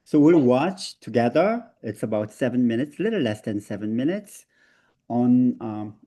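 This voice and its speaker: A man with a Korean accent leads a seminar over a video call. His pitch rises and falls a lot, and he laughs easily between hesitant fillers. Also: lively intonation, moderate pace, mellow. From South Korea. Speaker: seminar presenter. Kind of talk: online seminar talk.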